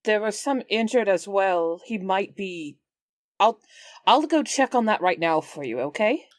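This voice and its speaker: A woman's voice: mid-range voice, expressive, unhurried, dry recording, clean studio sound, lightly acted. A woman playing a kindly, helpful adventurer in a tabletop game, plain-spoken and reassuring. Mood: eager, reassuring.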